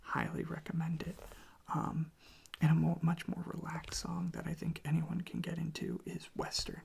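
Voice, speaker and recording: An ASMR creator speaking very softly and casually into a close microphone, with a breathy, creaky voice and a meandering, quietly enthusiastic way of talking. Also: mid-range voice, monotone, moderate pace. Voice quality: whispery, breathy with creaky fry. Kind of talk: soft-spoken ASMR, rambling music talk.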